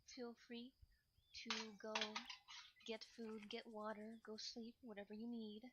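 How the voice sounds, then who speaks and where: A woman's voice: a little high, little pitch movement, a little slow, heavy background noise. A woman livestreamer chatting casually in a sweet, apologetic, scattered way while busy in her kitchen. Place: home kitchen.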